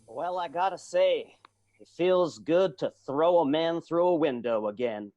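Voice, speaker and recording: A man's voice: fairly high pitch, lively intonation, a little slow, warm tone. A man playing a plain-spoken, tender-hearted vaudeville strongman in a radio play. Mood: satisfied. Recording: dull sound, guitar music behind.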